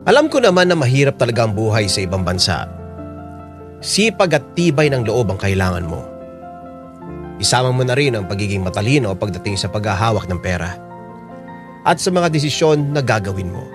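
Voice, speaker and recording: A reflective overseas worker. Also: male voice, lowish voice, big pitch swings, over music. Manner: measured, reflective. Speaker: narrator telling his own story. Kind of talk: reflective first-person narration.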